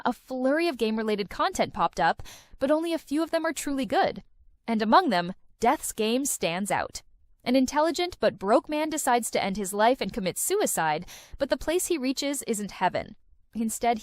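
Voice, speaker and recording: A woman's voice: fairly high pitch, no room sound. A woman narrating a countdown of film and series recommendations in an enthusiastic, recommending tone. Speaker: video host. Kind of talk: scripted list-video narration.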